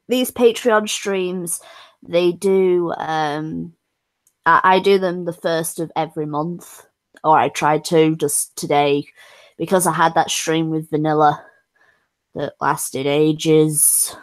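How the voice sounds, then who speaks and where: A woman streamer chatting casually with supporters, hoarse from losing her voice, talking haltingly with whispered asides. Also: low voice, steady tone. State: hoarse, has lost her voice. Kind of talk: casual chat.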